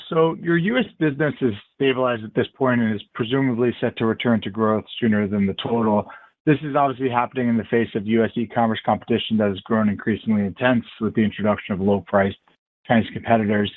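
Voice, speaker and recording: A man's voice: mid-range voice, fairly even intonation, fast talker. A man speaking as a financial analyst, putting a prepared question on an earnings call. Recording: dry tinny phone line.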